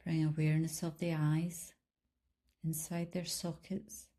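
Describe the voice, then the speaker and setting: Female meditation guide, lowish pitch and very slow delivery, calm and soothing, with generous pauses. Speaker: meditation guide, life coach. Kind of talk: guided body scan meditation, instructional.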